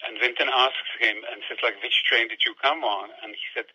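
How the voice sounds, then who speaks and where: A man's voice: mid-range voice, steady tone, a little fast, sharp tone, breathy edge. A man with a Czech accent, a guest author on a phone call, telling a story thoughtfully and often restarting his sentences. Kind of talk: storytelling in conversation.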